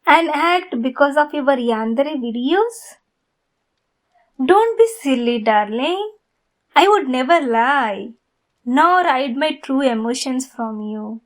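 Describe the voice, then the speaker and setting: A woman playing an obsessed, flirty yandere fan in an intimate ASMR roleplay, soft, cooing and sweetly menacing. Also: high voice, expressive. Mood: sweetly possessive. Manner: soft, cooing, slow and deliberate, often breathy whispering.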